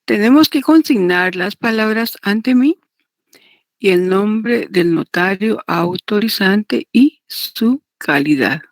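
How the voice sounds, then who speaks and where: Slow, creaky-voiced woman lecturing. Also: medium pitch, expressive, dry recording, clean studio sound. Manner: deliberate, repetitive, checks understanding with tag questions. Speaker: law lecturer teaching notarial law. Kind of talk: didactic lecture, partly read aloud.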